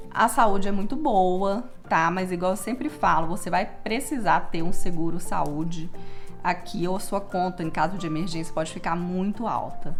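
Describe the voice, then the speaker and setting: A young Brazilian woman with a Brazilian Portuguese accent, chatting casually in a Q&A vlog and tagging her sentences with little checks to the viewer. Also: fairly low pitch. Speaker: vlogger living in Texas.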